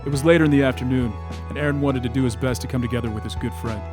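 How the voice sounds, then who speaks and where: Brisk actor as male audio drama narrator. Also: mid-range voice, fairly even intonation, close to an everyday voice. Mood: matter-of-fact. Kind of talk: scripted narration. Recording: guitar music behind the voice.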